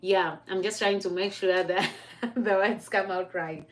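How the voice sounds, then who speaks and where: A Kenyan woman hosting a relaxed livestream, speaking to her viewers in a chatty, encouraging way. She laughs often and stops to fix her wording as she goes. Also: medium pitch, a little slow. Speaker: livestream host. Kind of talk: casual motivational livestream.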